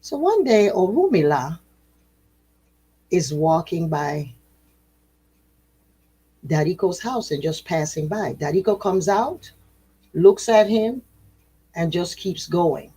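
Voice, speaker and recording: A woman with a low, husky voice telling a traditional tale in a relaxed, conversational way. Her delivery is animated, with sudden jumps in pitch, little laughs and quick self-corrections as she goes. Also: poor audio. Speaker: spiritual storyteller, host. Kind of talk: casual oral storytelling.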